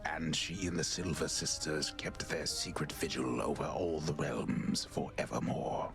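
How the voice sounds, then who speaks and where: A man playing a grave old poet, solemnly narrating a mythic tale in a gravelly murmur. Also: fairly even intonation, a little slow, over music. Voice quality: very deep, gravelly, breathy murmur. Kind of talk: epic scripted game narration.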